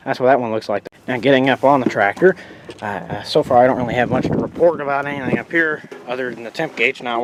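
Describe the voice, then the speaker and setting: A sniffly man in a casual vlog, rambling about a tractor's restoration with restarts and asides. His voice sits mid-range, recorded outdoors on a handheld camera mic. Clear background noise runs under the speech. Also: medium pitch. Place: outdoors by an old tractor on a sunny day.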